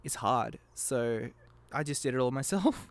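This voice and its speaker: A man's voice: medium pitch, lively intonation, measured pace, no room sound. A young man chatting casually as a podcast guest, giggly and self-deprecating. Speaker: podcast guest, amateur voice actor. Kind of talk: casual chat.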